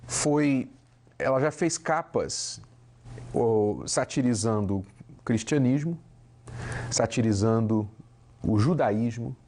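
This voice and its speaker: A man's voice: mid-range voice, a little mellow. A man hosting a talk program, asking guests questions and moderating politely. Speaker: host.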